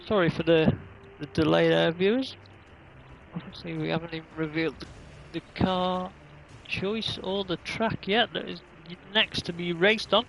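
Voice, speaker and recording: A man's voice: high-pitched, steady tone, normal speed, vocal fry, fairly bright tone, a little hiss or hum, poor audio. A man commentating casually on an online racing livestream, rambling along in an easygoing, hesitant way.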